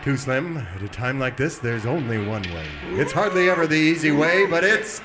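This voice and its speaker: An actor playing an earnest, self-important cowboy hero in a melodramatic old-time radio serial parody. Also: male voice, a little high, big pitch swings, moderate pace, music in the background. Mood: solemn. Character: noble, self-important heroic singing cowboy, idol of youth.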